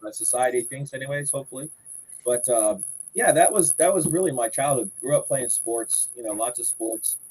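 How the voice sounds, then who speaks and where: A man's voice: mid-range voice, animated, moderate pace, darkish tone, husky, low-quality recording. A man in his forties from Florida, a podcast guest chatting and telling long rambling stories about his life. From Southwest Florida, United States. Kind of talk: casual chat.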